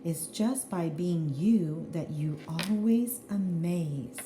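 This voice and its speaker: A woman teacher reading a children's picture book aloud in an expressive, deliberate way, with explanatory asides to young listeners. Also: lowish voice. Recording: roomy echo, faint background noise.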